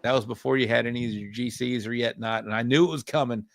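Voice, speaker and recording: A man's voice: mid-range voice, fairly even intonation, fast talker, creaky, no room sound. A middle-aged man hosting a podcast, talking in a friendly way and often calling people "man" and "bro". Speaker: podcast host. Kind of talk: casual podcast interview.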